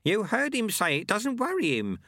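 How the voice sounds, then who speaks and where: A man puts on a wry, unruffled monk from a storybook. The voice is pitched high and bobs about in a lively, breezy way as it dispenses wisdom. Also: normal speed, no echo, clean studio sound, heavily acted. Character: wry, unruffled male sweeper monk, fond of proverbs.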